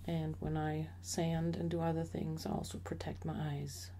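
A middle-aged woman narrating a slideshow about her woodworking hobby in a measured, explanatory way with occasional fillers. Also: deep voice, flat delivery, warm tone, slight background noise. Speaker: associate professor sharing a hobby.

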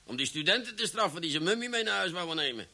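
An actor in a Dutch radio drama playing a stubborn, superstitious police superior who blusters and stammers. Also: male voice, high voice, animated, fast, bright, forceful tone, exaggerated voice. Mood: blustering, stubborn. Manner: blustery, stammering, repeats words.

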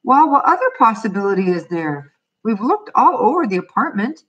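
A narrator voicing a small excitable boy, hopeful and insistent, in a children's audiobook style. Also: mid-range voice, moderate pace, far from the mic, highs cut off, pitched above the performer's own voice. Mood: defensive. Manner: eager, calling out, insistent.

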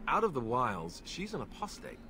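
A man's voice: mid-range voice, wildly swooping pitch, moderate pace, music in the background. A man voicing a wry, hesitant young warrior in a fantasy game, earnest but full of doubt. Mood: doubtful. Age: young adult. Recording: game audio over noisy ambience.

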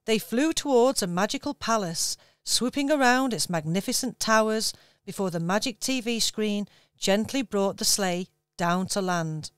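Woman narrating a children's story, smooth voice. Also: mid-range voice, no room sound. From England. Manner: gentle, measured storytelling.

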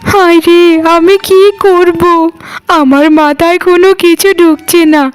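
Woman acting a very high-pitched, plaintive young wife. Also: steady tone, unhurried. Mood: self-pitying. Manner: plaintive, pleading. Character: exhausted, self-pitying, overworked young wife. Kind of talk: cartoon voice acting.

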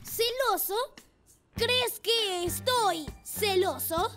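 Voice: extremely high pitch, very slow, music in the background, exaggerated voice. A performer voicing a confident, competitive young rescue motorbike in a children's cartoon dub, emphatic and eager. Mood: indignant.

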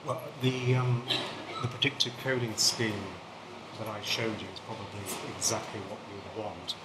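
Middle-aged English academic, low and quiet, halting; echoey room mic with noise. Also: male voice, level tone. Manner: soft-spoken, halting restarts. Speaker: panelist. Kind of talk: academic panel discussion. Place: seminar room with a panel table. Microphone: table microphone.

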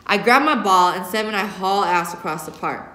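A woman in her thirties, a teacher reading a young-adult novel aloud in an expressive first-person narrating style. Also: medium pitch, a little fast. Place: indoor classroom. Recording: echoey classroom recording.

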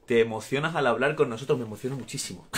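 A man's voice: medium pitch, a little fast. A man in his thirties with an Andalusian accent, chatting casually on a live stream from a small room at home, reading out viewer comments and laughing often. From Andalusia, Spain. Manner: warm, chatty, laughs often, gestures while talking. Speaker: actor answering fans in a live stream. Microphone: phone or webcam at arm's length.

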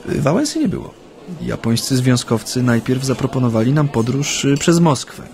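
A man's voice: medium pitch, very animated, gravelly, a little mellow. Hesitant, chatty man in radio drama. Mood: animated reminiscing. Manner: hesitant, halting, with many hems and repetitions. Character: chatty, nervous Japanese man steeped in Polish ways. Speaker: actor in a radio play. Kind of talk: naturalistic radio drama dialogue.

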